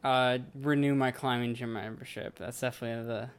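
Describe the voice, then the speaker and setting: A man's voice: mid-range voice, steady tone, fairly quick, clear, resonant tone. A 21-year-old man with an American accent, answering interview questions casually. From Washington state, United States. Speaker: interviewee, heavy equipment mechanic.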